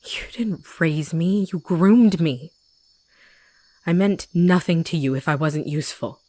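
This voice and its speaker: A woman acting as a young female hunter who has turned rebel, speaking in a low register. She is gentle and confiding, carrying guilt, in the intimate manner of roleplay audio drama. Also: unhurried, no room sound. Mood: pleading, defiant. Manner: soft, confiding, addressing the listener directly.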